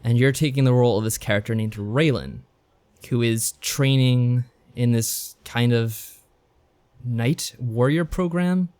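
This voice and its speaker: A man's voice: mid-range voice, a little slow, darkish tone. A man co-hosting a podcast, chatting casually as he reviews games. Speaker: podcast co-host. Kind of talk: casual chat reviewing visual novels.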